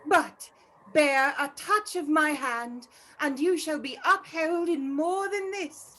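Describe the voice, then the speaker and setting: A woman performs a high-pitched female spirit for a radio play. The ghost speaks very slowly and formally, solemn and commanding, weighing each phrase. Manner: slow, deliberate, formal delivery.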